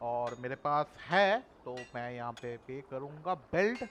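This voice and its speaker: A man's voice: mid-range voice, expressive, very fast, heavy background noise. A man hosting a gameplay video with casual, colloquial commentary, talking to viewers as friends.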